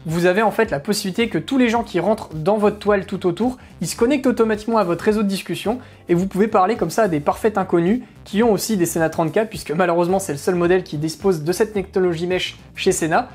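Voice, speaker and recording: Fast-talking woman reviewing gear. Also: medium pitch, a little mellow, slightly rough, faint music behind. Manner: informal, chatty. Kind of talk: casual explanatory product review narration.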